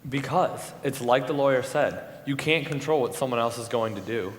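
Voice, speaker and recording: A man's voice: mid-range voice, moderate pace, close to an everyday voice. A man playing a plain-spoken, reasoning juror in a live stage play. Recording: echoey hall, faint noise.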